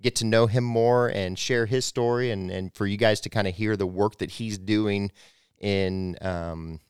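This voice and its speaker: A man's voice: on the low side, fairly even intonation, unhurried, smooth, no room sound, clean studio sound. A young man in his twenties hosting a church podcast, casual and rambling with many restarts. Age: 20s. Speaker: podcast host.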